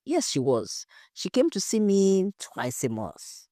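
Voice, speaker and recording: A woman voicing an expressive married hostess in an audio story. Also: medium pitch, slow, dry recording, studio quality, pitched above the performer's own voice. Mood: sorrowful. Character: gracious married hostess, grieving friend, defensive when questioned.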